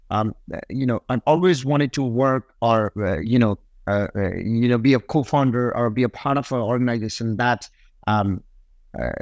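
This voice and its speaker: A man with a Nepali accent talks as a podcast guest in a conversational style. His pitch is average, and his phrasing is halting, padded with plenty of fillers. The sound is dry, without room echo. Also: darkish tone. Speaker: podcast guest, tech company executive. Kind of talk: conversational interview answers.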